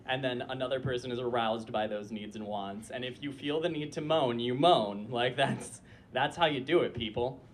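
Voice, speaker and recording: A young man performs a comic story live on a club stage through a handheld mic. His voice sits at an ordinary pitch and carries a slightly fussy, disappointed-mom tone, broken up by filler words. A faint hum of room ambience sits under him. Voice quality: voice like a disappointed mom, per a friend. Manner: chatty, lots of filler likes, frequent laughter. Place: small club stage before a live audience.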